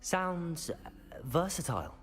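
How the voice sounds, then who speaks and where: An actor voicing a polite, eager and bold teenage student wizard in a video game, earnest. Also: male voice, a little high, sing-song, a little slow. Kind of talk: scripted video game acting.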